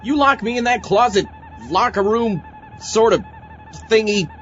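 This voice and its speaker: A man's voice: sits high, a little slow, a bit bright, lo-fi sound, a little hiss or hum. A man voicing a sarcastic, incredulous zombie astronaut in a comic audio drama. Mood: exasperated.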